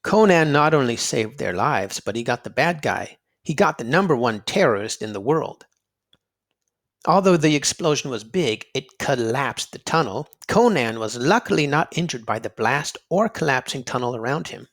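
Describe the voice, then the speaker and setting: A man reading aloud to children in an expressive storybook style, dramatic and emphatic, drawing out words for effect. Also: mid-range voice, normal speed. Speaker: children's read-aloud narrator and quiz host.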